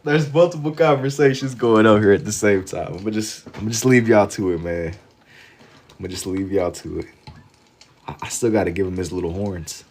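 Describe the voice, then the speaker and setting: A man's voice: fairly low pitch, moderate pace, darkish tone, a little hiss or hum. A man with an American accent hosting a livestream, chatting casually and slangily with viewers, amused and often repeating phrases for emphasis. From Louisville, Kentucky, United States. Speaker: livestream host. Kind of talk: casual chat with live viewers while drawing.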